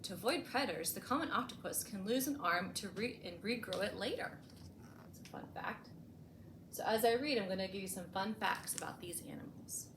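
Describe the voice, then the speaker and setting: A woman reading a picture book aloud for children as a storytime host, expressively and with deliberate emphasis, adding short explanatory asides. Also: medium pitch, animated. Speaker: librarian hosting a bedtime storytime. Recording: echoey room with clear background noise.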